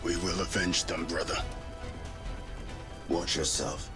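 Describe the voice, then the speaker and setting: Battle-worn, steadfast space marine sergeant. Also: male voice, deep voice, level tone, slow, music in the background. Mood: grim, steadfast. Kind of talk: video game cinematic acting.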